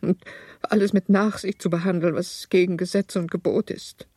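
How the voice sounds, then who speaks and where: Stern mother, actress: middle-aged, husky, proper and insistent, closely recorded radio drama. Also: fairly low pitch, steady tone, normal speed, dark tone, no room sound, clean studio sound, lightly acted. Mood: reproachful, insistent. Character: middle-aged mother, principled, stern, socially anxious wife. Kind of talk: radio play dialogue.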